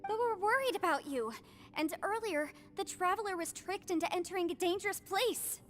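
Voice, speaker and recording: A woman voicing an earnest, urgent young female adventurer in a fantasy video game, speaking crisply and emphatically. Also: very high-pitched, normal speed, a bit bright, a little hiss or hum. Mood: concerned.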